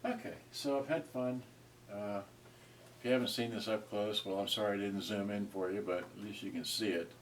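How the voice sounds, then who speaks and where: A man giving a casual, unscripted gadget review in a halting, rambling way, often trailing off mid-thought. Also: fairly low pitch, steady tone. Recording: distant mic with clear noise and clicks.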